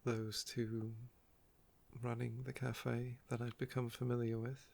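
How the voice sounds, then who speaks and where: A man speaks softly at a middling pitch, with heavy creak and a croaky edge. He rambles casually in an ASMR style, unhurried and a little wistful as he tells personal stories. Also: monotone. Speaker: ASMR video creator.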